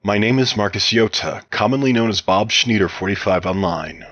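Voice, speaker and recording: Low, bright-voiced man answering interview questions. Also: moderate pace, dull audio. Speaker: interview guest, game mod developer. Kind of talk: prepared, read-out interview answers.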